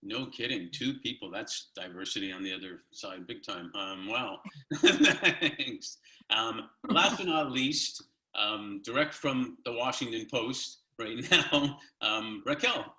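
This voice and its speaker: Bright-voiced man in echoey room. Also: medium pitch, slow. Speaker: host, panel moderator. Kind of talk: casual chat. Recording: roomy, echoing online call audio.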